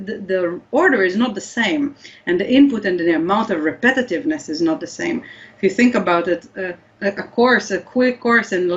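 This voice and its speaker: Brief: woman, Hebrew native, mid pitch, thoughtful with many restarts, muffled video call sound. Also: a bit bright. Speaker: guest researcher. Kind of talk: explanatory interview answers. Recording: slightly dull lo-fi audio.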